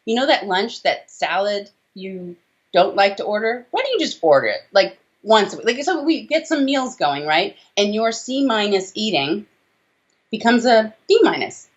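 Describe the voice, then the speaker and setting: A woman's voice: mid-range voice, moderate pace. An American woman in her forties explaining things emphatically and conversationally as an interview guest on a video call. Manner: emphatic, animated explaining with rhetorical tag questions. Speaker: doctor, interview guest, author. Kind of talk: conversational interview answers on health. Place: small room indoors, on a video call. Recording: dull video-call audio.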